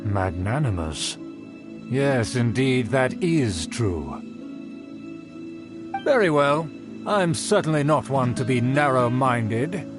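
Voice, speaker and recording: An actor playing a pompous, haughty aristocrat in a video game, speaking in a formal, condescending, grandiose manner. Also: male voice, medium pitch, lively intonation, takes it slowly, pauses often, over music. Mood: smug. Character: pompous, haughty male aristocrat obsessed with noble etiquette. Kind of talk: theatrical video game character dialogue.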